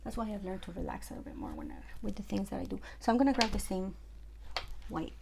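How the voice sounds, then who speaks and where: A woman's voice: mid-range voice, wildly swooping pitch, quick pace, creaky, noisy background. A young woman from Ecuador with a Spanish accent, chatting casually through a makeup tutorial at home with lots of fillers. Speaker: makeup artist.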